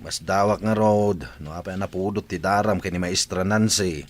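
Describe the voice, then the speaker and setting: A steady, reasoning husband played by a man in a radio drama, speaking with a somewhat low, even voice. He questions his wife patiently rather than arguing. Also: moderate pace, natural voice. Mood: questioning. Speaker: husband and father.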